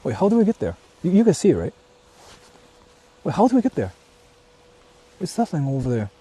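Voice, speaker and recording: A man's voice: fairly high pitch, huge pitch swings, fairly quick, mellow, slightly rough. A man chatting casually on a live stream outdoors, thinking aloud and repeating himself with exclamations and casual swearing. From Japan. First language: Japanese. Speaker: livestreamer host exploring on foot. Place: outdoors, walking in the countryside. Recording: noisy outdoor live-stream, ambient vehicle sounds.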